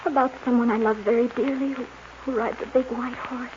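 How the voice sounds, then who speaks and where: An actress playing a devoted, lovesick young rancher's daughter in a 1940s western radio drama. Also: female voice, on the high side, steady tone, brisk, natural voice. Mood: pleading, heartbroken. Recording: muffled hissy 1940s radio recording.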